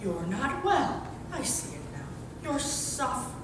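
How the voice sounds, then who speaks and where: A woman playing a brisk, teasing sister in a stage comedy, speaking in an arch, emphatic, gushing way. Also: sing-song. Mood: fussing. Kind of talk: stage comedy readers theater. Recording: echoey, distant, noisy hall recording with rumble.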